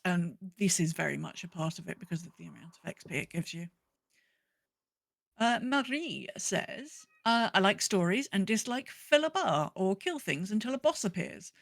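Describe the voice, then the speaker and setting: A woman's voice: mid-range voice, no room sound. A woman co-hosting a casual gaming podcast in an informal, chatty, slangy way. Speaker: podcast co-host.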